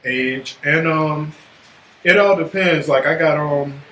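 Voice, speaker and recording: A man's voice: fairly high pitch, takes it slowly, a bit bright. A man in his twenties speaking as a podcast co-host, blunt, joking and slangy in casual chat. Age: 20s. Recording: roomy echo with faint background hiss.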